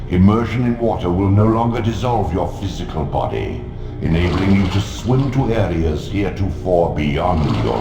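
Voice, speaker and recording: Elder god, very low gravelly voice, stately, swooping intonation, game narration. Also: male voice. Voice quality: deep, gravelly. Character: ancient godlike elder spirit, grave, omniscient master. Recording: echoing, music underneath.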